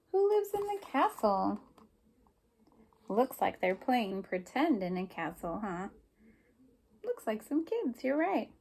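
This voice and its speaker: A woman's voice: a little high, darkish tone, husky. A woman teacher reading a picture book aloud to young children, explaining simply and prompting them with questions.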